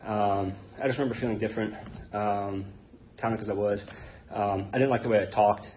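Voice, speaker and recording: An American man in his thirties speaking informally and haltingly, giving a personal testimony to a group. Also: fairly low pitch, steady tone. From born in Hong Kong to an English identity, raised in Connecticut, United States. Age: 30s. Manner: hesitant, halting, rambling. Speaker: guest speaker at an Alcoholics Anonymous meeting. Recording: tinny phone-like band, noisy background.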